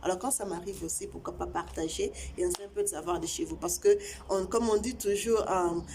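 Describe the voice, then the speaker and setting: Halting woman host. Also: fairly low pitch, steady tone, a little mellow, a little hiss or hum. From Congo (DRC). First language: Tshiluba. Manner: halting, many repeated words and fillers. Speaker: channel host. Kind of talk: casual chat to viewers.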